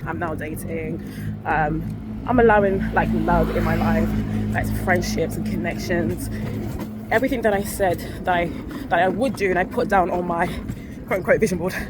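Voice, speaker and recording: A woman's voice: on the low side, normal speed. A woman chatting candidly to her phone while walking outdoors, rambling and correcting herself with small laughs. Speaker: vlogger. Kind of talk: casual vlog chat to a phone while walking. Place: outdoors on a city street. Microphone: phone held up to her face. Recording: outdoor phone recording with street noise. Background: traffic and street ambience.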